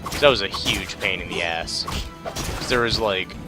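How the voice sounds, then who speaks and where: A man's voice: sits low, moderate pace. A man doing casual, laid-back game commentary, thinking aloud and reading tutorial text. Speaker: let's play host. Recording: game music behind. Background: game music.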